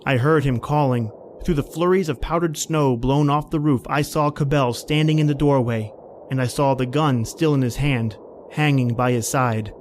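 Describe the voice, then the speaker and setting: A man's voice: medium pitch, moderate pace, a little hiss or hum, lightly acted. A man playing a haunted, panicky man stranded in polar cold, telling his ordeal in an old-time radio horror style. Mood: tense, fearful. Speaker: podcast host and actor.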